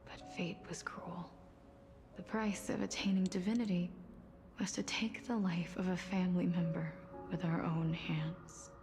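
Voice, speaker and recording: Loud music sits behind a bereaved demigoddess of death, a soft, low-leaning female game character who speaks slowly and solemnly. Her breathy voice carries a quiet sorrow, like someone telling an old story. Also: level tone. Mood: solemn, reflective. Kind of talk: English video game voice acting, slow storytelling.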